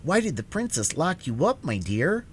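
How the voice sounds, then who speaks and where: A man voicing a calm, gracious young girl ruler in a storybook reading. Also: on the high side, moderate pace, a little hiss or hum, pitched above the performer's own voice. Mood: composed. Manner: composed, quietly firm.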